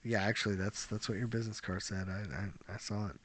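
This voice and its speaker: Male podcast host, very low voice, easygoing kidding banter. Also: fast talker, no echo. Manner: joking, relaxed, with chuckles. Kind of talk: casual chat.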